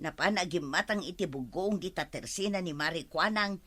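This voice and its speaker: A woman's voice: lowish voice, normal speed, fairly bright tone. A woman playing a fussy, skeptical older village woman in a comedy radio drama, querulous and full of questions. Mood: exasperated.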